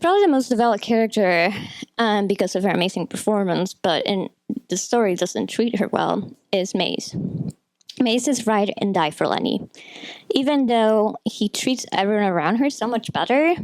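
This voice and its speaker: A woman's voice: medium pitch, lively intonation, normal speed, a little mellow, no room sound. A woman giving an informal, opinionated film critique in a rambling, conversational way with frequent asides. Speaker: video essayist, film critic. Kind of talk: informal film critique commentary, partly scripted.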